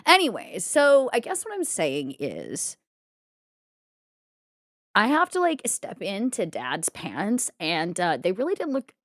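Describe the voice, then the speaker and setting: A woman's voice: medium pitch, huge pitch swings, slow pace, a bit bright, dry recording, studio quality, full character voice. A woman playing a spoiled, ditzy young crime heiress in a tabletop roleplay, with breezy valley-girl talk full of filler words. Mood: flippant.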